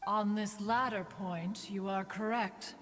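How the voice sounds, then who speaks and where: Haughty ancient immortal, measured pace, formal archaic diction, reverberant, video game. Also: female voice, mid-range voice, fairly even intonation, fairly bright tone, a little hiss or hum. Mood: haughty, composed. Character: stately, haughty immortal female adeptus. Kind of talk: video game character acting. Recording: long reverb.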